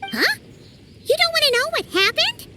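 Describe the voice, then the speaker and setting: Game role: tiny excitable floating fairy sidekick, childlike squeak, swooping delivery. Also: female voice, moderate pace, noisy background. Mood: surprised. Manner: refers to self in third person, eager. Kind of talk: video game voice acting.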